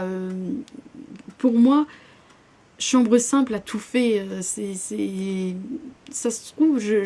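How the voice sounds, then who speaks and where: A woman's voice: mid-range voice, mellow. A young woman vlogging about her current reading in a casual, hesitant way, full of fillers and restarts. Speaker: book vlogger.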